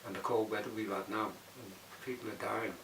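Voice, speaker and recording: Low-voiced Liverpool man, distant and echoey. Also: fairly even intonation, brisk. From Bootle, Liverpool, England. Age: 60s. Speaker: documentary interviewee. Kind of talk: unscripted interview answers. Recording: roomy, distant mic, clearly noisy background.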